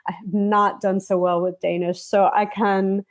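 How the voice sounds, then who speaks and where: A woman from the United States, a travel journalist, answering interview questions in a thoughtful, halting way. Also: mid-range voice, level tone. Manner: thoughtful, with restarts. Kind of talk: conversational interview.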